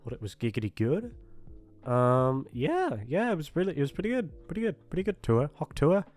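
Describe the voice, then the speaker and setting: Halting, self-deprecating man with music behind. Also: fairly high pitch, sing-song, darkish tone. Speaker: voice actor hosting his channel. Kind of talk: rambling casual outro chat.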